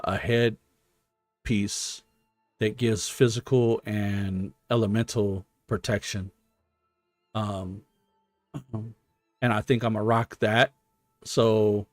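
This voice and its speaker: Slow-talking man streaming a game. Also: fairly low pitch, no echo. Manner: thinking out loud, explanatory, hesitant. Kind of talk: casual gaming stream commentary.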